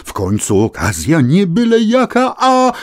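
A man playing a whimsical storyteller, narrating a children's tale theatrically with rhymes and dramatic pauses. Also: high voice, exaggerated voice. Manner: expressive, rhyming, dramatic pauses.

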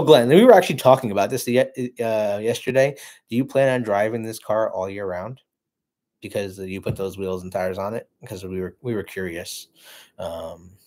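A man in his thirties co-hosting a casual car livestream from a living room, chatting to viewers with lots of fillers and friendly shout-outs. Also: on the low side. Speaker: car channel co-host reading live chat.

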